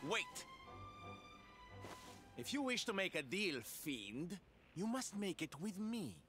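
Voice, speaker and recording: A woman's voice: on the low side, big pitch swings, takes it slowly, fairly bright tone, soft background music, full character voice. A woman voicing a bold, flamboyant adventurer in a video game, theatrical, coy and playful with mock sweetness. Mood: coy, luring.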